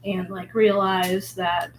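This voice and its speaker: Hoarse woman musing while painting. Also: mid-range voice, little pitch movement, slow pace, slight background noise, rough recording. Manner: rambling, filler-heavy, musing. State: hoarse from illness. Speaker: vlogger painting. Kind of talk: casual reflective monologue.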